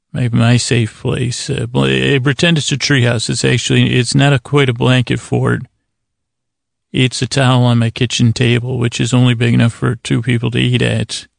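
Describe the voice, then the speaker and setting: A man hosts a bedtime podcast in a creaky, fry-laden voice that barely rises or falls. He meanders, trips over words and interrupts himself, keeping a lulling, soothing tone. The sound is dry, with no room around it. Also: mid-range voice, no room sound. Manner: meandering, stammering, self-interrupting. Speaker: podcast host. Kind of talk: rambling bedtime monologue.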